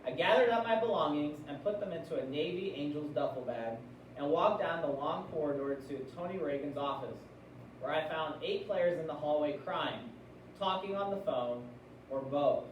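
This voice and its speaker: Echoey, higher-voiced American man teaching. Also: animated, moderate pace, dull audio. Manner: explanatory, often checking in with listeners with questions. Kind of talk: classroom read-aloud with discussion questions. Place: classroom. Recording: very echoey room, distant mic, noisy.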